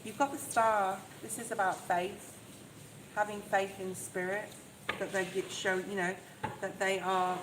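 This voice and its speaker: A soft-voiced woman giving a live tarot reading, talking in a chatty, reassuring way and often checking in with "okay?". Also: mid-range voice, flat delivery, unhurried. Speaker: tarot reader. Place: outdoors in the rain. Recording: echoey, with loud rain noise. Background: rain noise.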